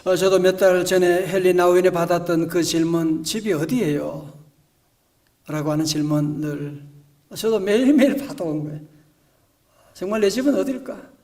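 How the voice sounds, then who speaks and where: A male pastor preaching a storytelling sermon in a church, moving between hushed and emphatic delivery. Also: high-pitched, moderate pace, recorded from a distance. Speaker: senior pastor. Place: church sanctuary.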